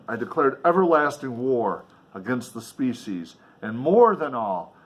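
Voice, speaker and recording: A man reading a novel aloud in a dramatic, emphatic way, with casual offhand commentary along the way. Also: medium pitch. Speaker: reader and commentator.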